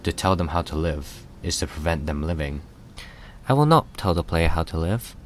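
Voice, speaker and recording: Deep, solemn godlike being acted by a man. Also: animated, moderate pace, slight background noise. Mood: solemn, knowing. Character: unseen godlike male being narrating a poem, solemn and knowing. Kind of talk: scripted poetic narration. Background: music.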